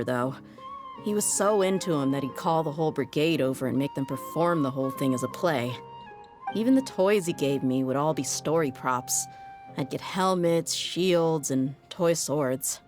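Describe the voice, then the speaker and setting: A low-pitched, world-weary young woman mercenary, played by a voice actress. She speaks frankly, with dry wit, as if in video game story dialogue. Faint music plays behind her. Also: lightly acted. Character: tough, jaded young woman desert mercenary.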